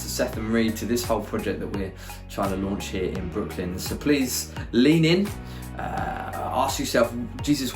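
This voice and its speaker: Upbeat church leader with music behind. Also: male voice, fairly low pitch, roomy sound. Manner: upbeat, encouraging, many fillers. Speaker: church leader giving announcements. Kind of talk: church announcements.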